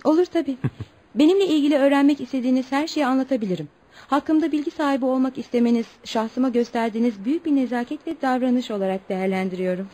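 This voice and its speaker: High-voiced, polite young Eskimo girl. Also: quick pace, dark tone. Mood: polite, eager. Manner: formal, polite, earnest. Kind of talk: Turkish radio theatre acting. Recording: noisy radio play recording.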